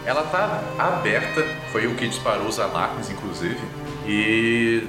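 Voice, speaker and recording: A man's voice: lowish voice, measured pace. A man with a Brazilian accent narrating a tabletop game as game master. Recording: roomy echo, music underneath.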